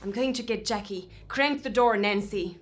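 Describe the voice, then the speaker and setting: A woman's voice: medium pitch, normal speed, natural voice. A woman playing a defiant, headstrong survivor in an audio drama, speaking in an insistent, commanding way. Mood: urgent. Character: defiant, headstrong, loyal woman determined to rescue her friend.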